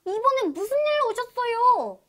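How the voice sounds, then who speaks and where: A woman's voice: very high voice, very animated, moderate pace, heavy background noise, pitched above the performer's own voice. A woman voicing a polite, helpful magic fish in a children's storybook, with a youthful character. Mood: polite, bright.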